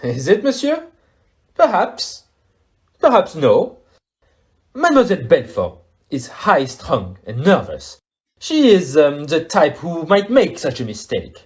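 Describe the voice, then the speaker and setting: Man as fussy French-accented gentleman detective, audio play. Theatrical, courteous, wildly swinging intonation, slightly muffled recording. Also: a little high, huge pitch swings, slow pace, long pauses, exaggerated voice. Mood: probing. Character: fussy, theatrical middle-aged male French-speaking detective.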